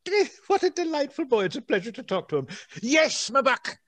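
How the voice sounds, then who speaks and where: An old miser reborn as a giddy, generous soul in a radio play. His pitch swoops high and wide, with exuberant exclamations, and the recording is dry and close. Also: very animated, fairly quick, fairly bright tone, no echo, exaggerated voice. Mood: giddy, overjoyed. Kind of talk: theatrical radio play acting.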